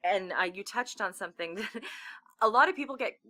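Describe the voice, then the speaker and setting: Canadian woman interviewer, crisp bright tone, chatty and eager to agree. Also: medium pitch, animated, fairly quick. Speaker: interview host. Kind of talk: casual interview chat.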